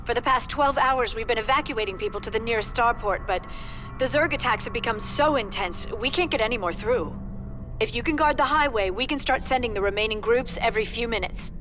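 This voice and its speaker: A woman's voice: fairly high pitch, brisk, fairly bright tone, slight background noise, natural voice. A woman playing an anxious colony doctor. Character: gentle female colony doctor, anxious and pleading.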